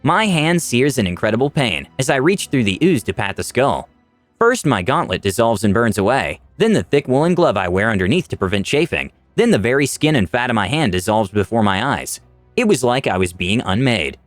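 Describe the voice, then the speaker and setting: A man's voice: mid-range voice, big pitch swings, fairly quick, no room sound. A man narrating a scripted fantasy adventure story in the first person, with dramatic delivery. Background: music.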